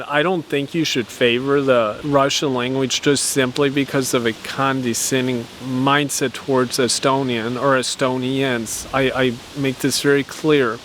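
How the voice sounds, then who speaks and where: A man's voice: medium pitch, a bit bright, slight background noise. A man giving his opinion in an outdoor vlog, talking earnestly and reasonably, often restarting and repeating words as he thinks. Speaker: vlogger. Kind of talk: opinion monologue to camera.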